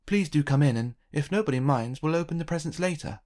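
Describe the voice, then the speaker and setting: A narrator voicing a perfectly polite, cheerful gentleman from a children's storybook, courteous and gentle. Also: mid-range voice, expressive, fast talker, close to an everyday voice. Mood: delighted welcome.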